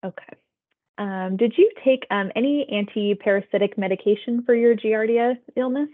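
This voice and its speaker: A woman's voice: fairly high pitch, moderate pace. Woman interviewer on a tinny phone line. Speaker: public health phone interviewer. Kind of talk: phone survey interview, scripted questions read conversationally.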